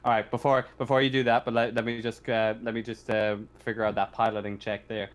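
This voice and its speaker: A man acting as game master in a casual tabletop game, talking haltingly and often restarting his phrases. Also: medium pitch, level tone, clear, resonant tone.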